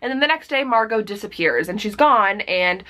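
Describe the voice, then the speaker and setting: A young woman talks straight to the camera from a small bedroom, chatty and upbeat. Her pitch sits in the ordinary middle, and she fills her sentences with "like" and "kind of" as she runs through her picks. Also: lively intonation, normal speed, bright, forceful tone. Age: young adult. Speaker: book vlogger. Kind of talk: casual book recommendations to camera.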